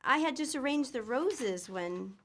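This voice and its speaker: A woman's voice: high voice, lively intonation, a little slow, exaggerated voice. An actress playing a sweet, earnest young woman in an old-time radio comedy, telling a story and pleading. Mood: fond.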